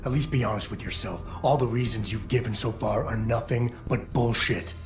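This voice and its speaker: Casting: man playing hard-edged game protagonist, mid-pitched, terse and stern, confrontational, music under the lines. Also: normal speed, natural voice. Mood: blunt, scolding. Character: tough, blunt male game hero, stern and unyielding. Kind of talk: dramatic video game voice acting.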